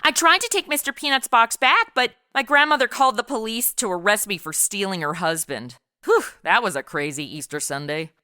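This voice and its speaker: A woman's voice: mid-range voice, lively intonation, fairly quick, hardly any pauses, bright, forceful tone, clean tone, no echo, studio quality. A woman playing a sarcastic modern woman out of place in the 1890s, quipping in slang in a comedy audio drama. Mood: deadpan quips.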